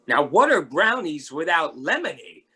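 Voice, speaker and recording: A performer playing a kindly, lonely, mild-mannered man in a readers theatre children's story, with a husky, hesitant delivery. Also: mid-range voice, very animated, normal speed, bright, forceful tone, full character voice. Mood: friendly, helpful.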